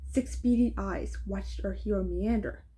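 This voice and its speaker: A woman's voice: mid-range voice, a little slow, mellow. A woman reading a rhyming children's story aloud, with casual asides as she goes. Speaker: storybook reader.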